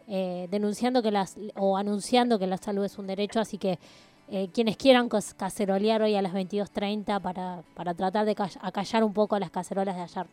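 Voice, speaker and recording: Locutora argentina de voz monótona. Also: female voice, medium pitch, warm tone. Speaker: radio host. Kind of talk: radio announcement.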